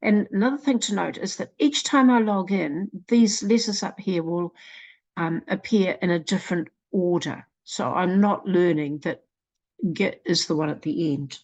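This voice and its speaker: A woman with a New Zealand accent presenting a literacy program in a slow, deliberate walkthrough, sounding out letters one at a time. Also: fairly low pitch, darkish tone. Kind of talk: screen-recorded software walkthrough.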